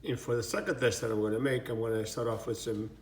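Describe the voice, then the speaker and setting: A middle-aged man explains a dish as he cooks at home. He rambles, restarts his sentences and fills gaps with "uh" and "you know." His pitch sits in an ordinary middle range for a man. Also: fairly even intonation, fast talker. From Portugal. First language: Portuguese. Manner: rambling, many fillers and restarts. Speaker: chef. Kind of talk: home cooking demonstration.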